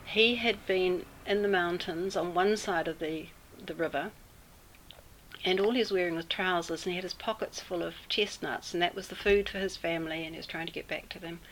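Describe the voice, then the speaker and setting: A woman with a New Zealand accent telling a personal story in an interview, unhurried and full of pauses. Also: fairly low pitch, fairly even intonation, fairly bright tone, slight background noise, low-quality recording. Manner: unhurried, with many fillers and pauses. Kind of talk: casual storytelling.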